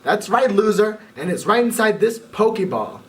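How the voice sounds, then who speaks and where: A man voicing an arrogant young rival in a storybook reading, with a slow, drawling, sneering delivery. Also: sits high, sing-song, slight background noise. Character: smug bullying boy rival, sneering. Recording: voice a bit far from the mic.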